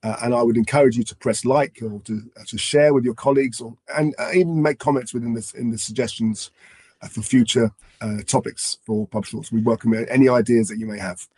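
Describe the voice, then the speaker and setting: A man with a summer cold co-hosting a talk show, sounding congested and speaking haltingly with repeated words. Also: medium pitch, fairly even intonation, a little mellow, husky, no echo. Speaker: podcast co-host. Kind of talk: casual discussion.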